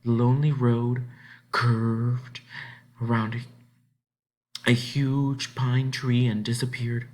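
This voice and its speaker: A man reads a children's story aloud as its narrator. He has a mid-pitched voice and expressive, dramatic phrasing, drawing out words for effect. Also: takes it slowly, pauses often.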